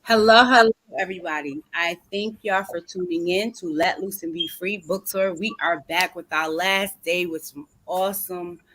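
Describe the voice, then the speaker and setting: Host, woman, chatty and upbeat, a touch low. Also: moderate pace, few pauses, fairly bright tone. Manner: upbeat, chatty, uses y'all. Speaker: host of an online book tour. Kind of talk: casual interview.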